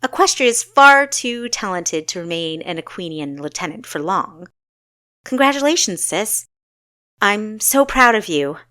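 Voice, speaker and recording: A woman's voice: mid-range voice, sing-song, measured pace, bright, forceful tone, dry recording, studio quality. A woman voicing a carefree, impulsive young sister in an audiobook, with an eager, exclamatory manner. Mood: proud, excited.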